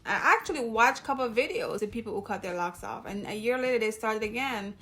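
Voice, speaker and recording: Jamaican lady vlogging, kinda high voice, chatty and rambly, cuts herself off a lot. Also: animated, fast talker, a bit bright, soft background music. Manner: chatty, rambling, self-interrupting. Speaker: YouTube host. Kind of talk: casual talk to camera.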